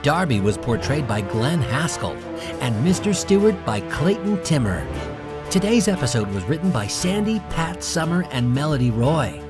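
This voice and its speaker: Animated male drama announcer over music. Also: fairly high pitch, moderate pace. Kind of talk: scripted narration, radio drama credits read.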